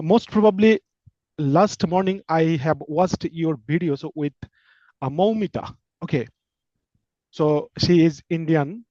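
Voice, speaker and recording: A man from Bengal with a Bangladeshi accent, an English learner asking questions in halting English with many fillers. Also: a little high, a little mellow, no echo, lo-fi sound. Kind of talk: casual conversation.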